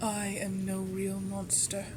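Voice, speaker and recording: Slow, imperious vampire baroness played by a woman. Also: medium pitch, completely flat delivery, background noise, lightly acted. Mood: righteous, scornful. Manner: imperious, measured, deliberate delivery with dramatic pauses. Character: proud vampire baroness, menacing yet secretly tender. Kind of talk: scripted ASMR roleplay, slow and theatrical.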